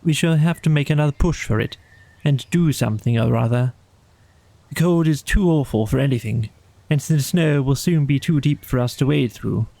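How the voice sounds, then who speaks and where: A man voicing a practical, kindly and excitable storybook rat, brisk and decisive with a colloquial way of talking. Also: medium pitch, creaky, no echo. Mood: determined. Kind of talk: storybook character voice.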